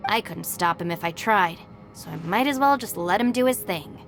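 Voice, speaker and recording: A woman's voice: mid-range voice, animated, moderate pace, lightly acted. An actress playing a weary, sensible young woman, a gang deputy in a video game, matter-of-fact, with music behind. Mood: exasperated, fond. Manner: matter-of-fact, long-suffering. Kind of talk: game voice acting.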